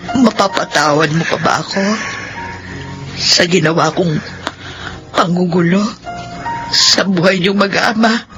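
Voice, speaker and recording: An actress playing an emotional elderly grandmother in a Filipino radio drama, with drawn-out, sighing delivery and a Filipino accent. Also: on the low side, music in the background, natural voice, rough recording. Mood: remorseful. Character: elderly grandmothers, emotional in-laws quarrelling and reconciling.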